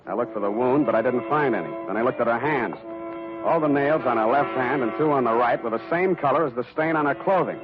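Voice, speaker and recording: Guy doing a terse deadpan 40s radio detective, wisecracking, old muffled recording with music. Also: medium pitch, fast, lightly acted. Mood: deadpan narration. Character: hard-boiled male private detective, wry and wisecracking. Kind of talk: 1940s radio detective acting and narration. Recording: dull 1940s radio recording, background music.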